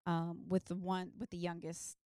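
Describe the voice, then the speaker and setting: Podcast guest: a woman with a slightly low, smooth voice, chatty and rambling, recorded dry. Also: monotone, normal speed, darkish tone, dry recording. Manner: rambling, many side stories and ums. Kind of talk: casual storytelling chat.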